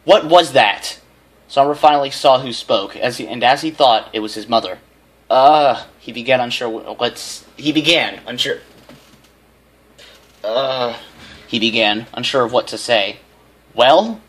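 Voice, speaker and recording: A man's voice: mid-range voice, brisk, hardly any pauses, fairly bright tone. A man reading fanfiction aloud as an amateur, often stumbling over phrases and repeating them. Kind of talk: reading a story aloud with character voices.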